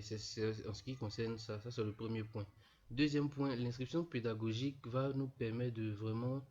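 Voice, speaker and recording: A man's voice: fairly low pitch, a little mellow. Stuffy-nosed young man explaining. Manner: repetitive, peppered with "voilà", addresses students informally. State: stuffy from a cold. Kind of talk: casual explanatory screen tutorial. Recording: dull lo-fi voice-over.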